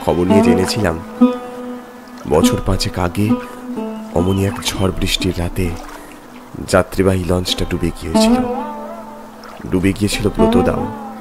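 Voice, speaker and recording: A man narrating a scripted horror story in a theatrical, emotive manner. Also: lowish voice. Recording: loud music bed behind. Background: background music.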